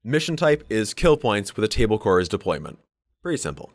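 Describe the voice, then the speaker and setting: A man's voice: lowish voice, very animated, a little fast, no room sound. A man co-hosting a podcast in casual, joking, sweary banter. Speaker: podcast co-host. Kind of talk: casual banter about tabletop wargaming.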